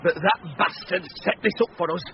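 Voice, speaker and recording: Man acting angry boat owner, highish voice, swearing and scornful, muffled noisy radio play. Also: moderate pace, lightly acted. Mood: bitter, vengeful. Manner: blustering, swearing, sarcastic. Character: furious gruff shipwrecked boat owner, vengeful and scornful. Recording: muffled radio recording with loud outdoor noise.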